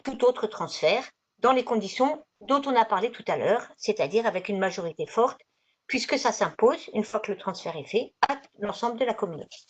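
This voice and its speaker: A woman presenting slides as a trainer in an online session, explaining in a conversational, didactic way and rephrasing as she goes. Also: mid-range voice. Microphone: video conference microphone. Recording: dull, lo-fi video call audio.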